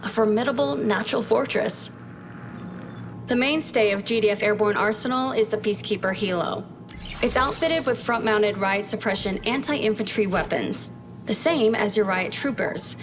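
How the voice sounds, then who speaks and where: An actress playing a brisk, dry-witted military scientist, giving a mission briefing in a video game with a crisp, matter-of-fact delivery. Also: female voice, medium pitch, music in the background, close to an everyday voice. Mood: brisk, informative. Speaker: military research officer briefing a commander.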